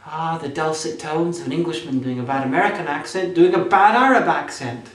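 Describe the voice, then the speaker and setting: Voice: mid-range voice, fairly quick. A comedy film review host with a sarcastic, theatrical, mock-imperious way of delivering scripted commentary. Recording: roomy echo, faint background noise.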